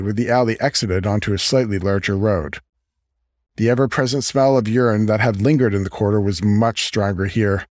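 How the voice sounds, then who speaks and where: A man's voice: fairly low pitch, a little mellow, dry recording, studio quality. A man reading a scripted fiction story aloud as an audiobook narrator.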